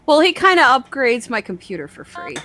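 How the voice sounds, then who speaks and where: A young woman chatting casually, telling stories in a rambling, sweary, excitable way and acting out the dialogue. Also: sits high, brisk. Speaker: online show host. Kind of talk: casual chat, animated storytelling.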